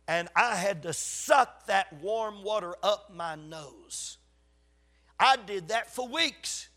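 High-voiced Southern preacher, slightly raspy. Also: male voice, sharp tone. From South Carolina, USA. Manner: folksy, emphatic storytelling with dramatic pauses. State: getting over a cold. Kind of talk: sermon to a congregation.

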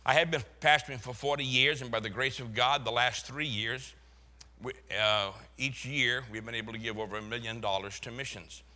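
A man's voice: mid-range voice, steady tone, a little fast, pushed and bright. An older man from Boston with a Boston accent, a pastor preaching a sermon full of folksy, chuckling stories.

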